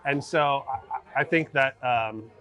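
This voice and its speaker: A man's voice: medium pitch, unhurried, bright, forceful tone. An American man chatting casually as a podcast guest, informal, often peppering his talk with like and right. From born in Egypt, grew up in America. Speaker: podcast guest, former mortgage broker. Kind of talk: casual chat.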